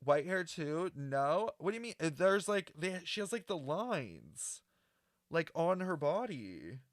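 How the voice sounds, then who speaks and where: A man giving casual, rambling reaction commentary in a chatty, slangy, excitable way. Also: a little high, a little slow, dry recording, clean studio sound. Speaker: reaction streamer.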